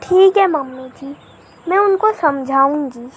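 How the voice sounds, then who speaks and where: A woman voicing a young Indian daughter-in-law in a scripted animated moral story, politely addressed but stubborn and outspoken. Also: high-pitched, slow pace. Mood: polite, defensive. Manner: polite address, firm talking back.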